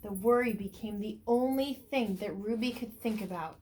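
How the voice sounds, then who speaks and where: A woman teacher reads a picture book aloud to young children, stretching words for emphasis and pausing to ask them questions. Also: medium pitch, darkish tone, low-quality recording. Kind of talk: read-aloud storytelling with asides and questions to young listeners.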